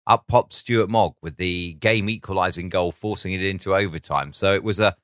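A man with a slightly low voice speaks as a sports podcast pundit. His analysis is conversational, with measured and emphatic phrasing, and the sound is close and dry. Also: a bit bright, no room sound.

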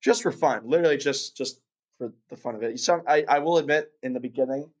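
A young man, born in Russia, talks through an interview in a relaxed, meandering way. His voice sits at an ordinary middle pitch. He fills pauses with "um" and "you know" and often restarts his sentences. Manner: rambling, many fillers and restarts. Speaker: guest. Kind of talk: casual interview chat.

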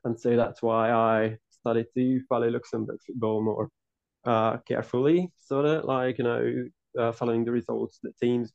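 Gravelly man, dull sound. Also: medium pitch, a little mellow, no echo. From Hungary. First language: Hungarian. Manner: earnest, long winding answers with many restarts. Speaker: podcast guest, football writer. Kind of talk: casual interview answers.

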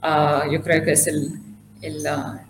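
A woman whose first language is not Spanish answers interview questions in a halting way, with many fillers and restarts. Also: low voice, flat delivery, creaky, noisy background. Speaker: interview guest, conference organizer. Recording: echoey room.

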